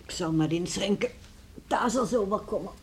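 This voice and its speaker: A woman's voice: mid-range voice, lightly acted. An actress in a radio play playing a caring middle-aged farmer's wife and mother, with a soothing, practical manner. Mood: worried. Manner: soothing, homely, measured. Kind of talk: Dutch radio play acting. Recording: noisy old radio play recording.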